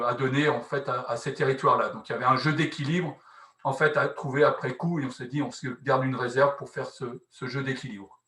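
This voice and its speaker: A man with a mid-pitched voice explains something as a conference speaker. He works through it haltingly, half improvised, rephrasing as he goes and adding small tag words. The sound is noticeably roomy and echoey. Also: sharp tone. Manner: halting, rephrases often, frequent "hein" tags. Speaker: conference speaker presenting a housing policy experiment. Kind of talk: explanatory presentation, semi-improvised.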